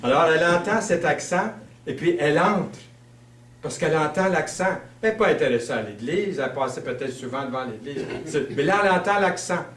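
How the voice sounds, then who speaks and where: A man's voice: fairly high pitch, animated, a bit bright, slight background noise. A man from Quebec with a Québécois French accent, preaching a sermon in a conversational, storytelling way. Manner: conversational, storytelling, with fillers and slow emphasis. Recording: roomy sound, mic at a distance.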